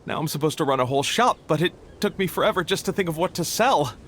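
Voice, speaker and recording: A man's voice: fairly high pitch, animated, fast, fairly bright tone. A man playing an earnest, anxious, overly polite young logistics worker in a video game, speaking formally and a little flustered. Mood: anxious, humble. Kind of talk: video game cutscene acting. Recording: music behind the voice.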